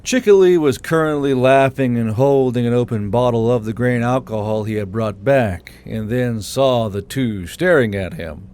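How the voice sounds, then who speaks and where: A man's voice: medium pitch, normal speed, few pauses, a little mellow, clear, resonant tone. A man reading scripted fantasy fiction as an audiobook narrator. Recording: background music under the voice.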